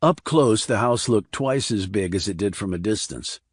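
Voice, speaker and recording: Low-voiced man narrating a thriller. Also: lively intonation, normal speed, slightly rough, dry recording, studio quality. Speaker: audiobook narrator.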